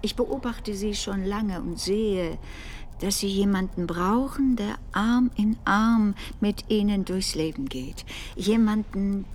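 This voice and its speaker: An actress playing a possessive older female official in a radio drama, with a condescending, cloying and deliberate manner. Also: mid-range voice, darkish tone, lightly acted. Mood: patronizing, cloying. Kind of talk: dramatic radio play acting.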